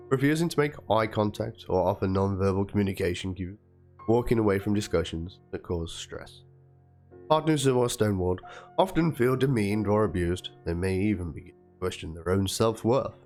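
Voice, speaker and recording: A man with a fairly low voice performs a dramatic reading of a written document. He narrates it theatrically. Faint music plays behind his voice. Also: darkish tone. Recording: music under the voice. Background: background music.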